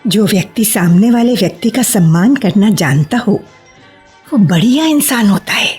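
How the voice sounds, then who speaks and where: An actress playing a sensible homemaking woman who affectionately scolds her friend in a measured, expressive radio-play style. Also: mid-range voice, soft background music, close to an everyday voice. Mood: reflective. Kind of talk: Hindi radio play acting.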